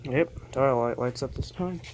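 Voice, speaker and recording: A man with a mid-range voice talks through his work in an unscripted, casual way. He sounds offhand, as if half talking to himself at the bench. The handheld recording carries clear background noise in a small workshop. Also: medium pitch, measured pace, darkish tone. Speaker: hobbyist electronics repairer showing equipment. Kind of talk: casual unscripted show-and-tell narration.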